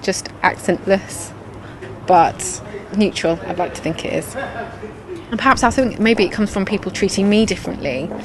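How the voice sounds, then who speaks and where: A woman's voice: mid-range voice, normal speed, crowd noise, poor audio. A woman from Leicester, reflecting thoughtfully on how she speaks. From Leicester, England. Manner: thoughtful, self-questioning. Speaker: interviewee. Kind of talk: reflective interview answers.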